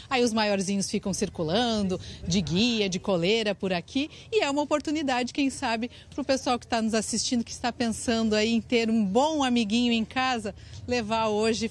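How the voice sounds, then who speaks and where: Brazilian woman field reporter, 30s, outdoor live news hit on handheld mic, lively delivery. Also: a little high. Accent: Brazilian Portuguese. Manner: lively, friendly, gestures while talking. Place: outdoor park event under a tent.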